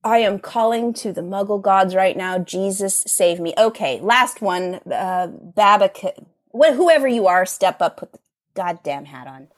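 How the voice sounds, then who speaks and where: A woman's voice: medium pitch, expressive, unhurried, close to an everyday voice. A woman playing a brusque, exasperated witch teacher in an improvised comedy sketch. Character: exasperated, bossy witch teacher running house sorting.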